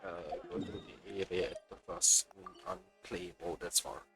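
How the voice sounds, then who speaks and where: Animated, low-voiced male game streamer. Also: measured pace, vocal fry, over music. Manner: muttering to himself, thinking aloud. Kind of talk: casual live let's play commentary.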